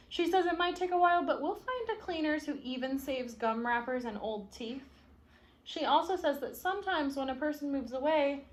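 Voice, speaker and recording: A woman voicing a stubborn young storybook boy who refuses to move, speaking emphatically and defiantly. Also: high-pitched. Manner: emphatic, defiant first-person storybook delivery.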